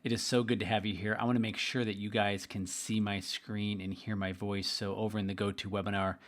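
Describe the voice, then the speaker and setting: Slightly hoarse, upbeat man. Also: on the low side, flat delivery, brisk. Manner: upbeat, chatty, addresses listeners directly. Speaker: investment trainer hosting a webinar. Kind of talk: live webinar presentation.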